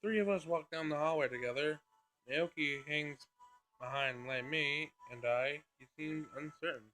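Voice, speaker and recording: A man's voice: on the high side, moderate pace, pushed and bright, poor audio. A man reading a story aloud unrehearsed, narrating and stumbling now and then as he re-reads phrases. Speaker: player reading a visual novel aloud. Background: music.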